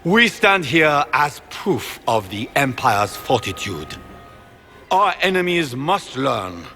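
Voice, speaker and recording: An actor playing a haughty, ambitious grand duke turned emperor in a fantasy game, with an imperious, declamatory manner. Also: male voice, medium pitch, very animated, slow, pushed and bright, faint music behind, exaggerated voice. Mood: grand, rallying. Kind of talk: dramatic fantasy game acting.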